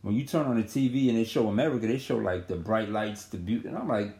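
A man's voice: on the low side, fast, mellow. A man, chatting casually and telling stories in street slang. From New York. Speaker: podcast guest. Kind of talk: casual chat. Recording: echoey room.

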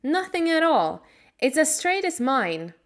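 A cross, stubborn little girl from a children's story, played by a woman narrator. She is blunt and scolding, with a raised pitch and bossy delivery. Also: lively intonation, measured pace. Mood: firm.

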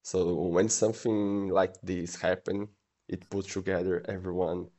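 A man's voice: deep voice, a little slow. A man with a slightly non-native way of speaking English, chatting casually and leaning on "like" as a filler. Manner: relaxed, uses filler "like" often. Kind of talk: casual chat.

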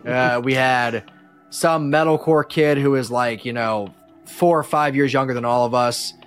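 A man's voice: medium pitch, steady tone, a little slow, smooth, faint music behind. A man chatting casually as a podcast guest, telling stories about his life as a musician in a slangy, rambling way with frequent false starts.

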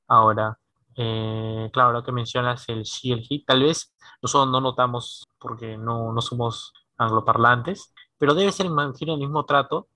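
A man in a casual conversation, musing aloud in a lively way and laughing now and then. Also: mid-range voice, no room sound.

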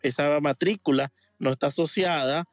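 Hombre de voz aguda llamando desde casa. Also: male voice, fairly high pitch, normal speed, no echo, studio quality. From Venezuela. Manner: rambling, many fillers and restarts. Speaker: caller. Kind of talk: casual call-in chat. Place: home in Miami Beach.

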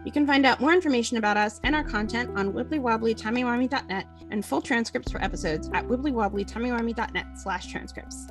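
A woman's voice: fairly high pitch, fast talker, few pauses, music in the background. A woman co-hosting a podcast in a casual, chatty style. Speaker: podcast co-host and producer. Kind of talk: casual podcast chat and sign-off.

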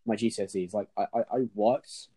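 A man's voice: fairly low pitch, steady tone, brisk, dark tone, slightly rough. A young man interviewing casually, rambling, repeating himself and swearing. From England. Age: young adult. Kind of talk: casual chat.

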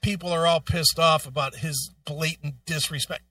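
A man with a high, sharp-edged voice trades opinions as a co-host. He is blunt, sarcastic and freely profane, and the sound is dry and a little off the mic. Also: fairly even intonation, normal speed, no echo, far from the mic. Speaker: show co-host. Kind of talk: casual opinionated banter.

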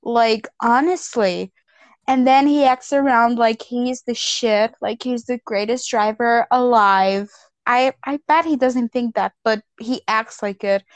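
A woman's voice: on the high side, unhurried, dry recording. A woman ranting bluntly and profanely in a casual chat as a podcast co-host.

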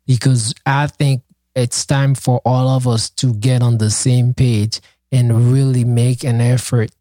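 A man whose native tongue is Haitian Creole chats on a podcast, his voice mellow and relaxed. He talks with earnest passion, stumbling through fillers and restarts. The sound is dry and close. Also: medium pitch, unhurried, no echo. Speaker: podcast co-host and project founder. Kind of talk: casual podcast chat.

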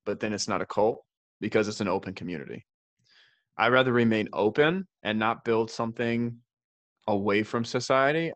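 A man's voice: medium pitch, no echo. A man from the United States co-hosting a podcast, talking casually and emphatically, repeating himself and joking. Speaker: podcast co-host. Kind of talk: casual conversation.

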